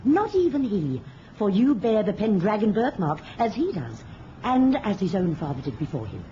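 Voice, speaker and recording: Actress as a cunning witch mother, dark-voiced and animated. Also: medium pitch, lightly acted. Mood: scheming, gloating. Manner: deliberate, imperious, theatrical. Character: cunning scheming witch mother, cold and commanding. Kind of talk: radio drama acting. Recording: muffled radio recording with hiss.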